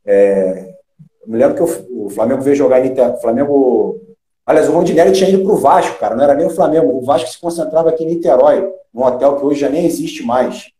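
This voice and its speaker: A middle-aged man from Rio de Janeiro with a Carioca accent, a former footballer chatting as an interview guest, halting with many restarts and fillers. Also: mid-range voice, warm tone. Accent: Carioca Brazilian Portuguese. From Rio de Janeiro, Brazil. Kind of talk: casual interview chat. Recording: echoey room.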